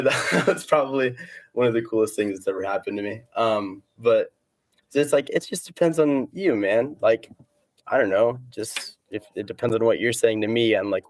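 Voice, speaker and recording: A man's voice: on the low side, dry recording. A man chatting casually as a guest, easygoing and slangy, rambling as he goes. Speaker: interview guest, competitive cornhole player. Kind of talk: casual chat.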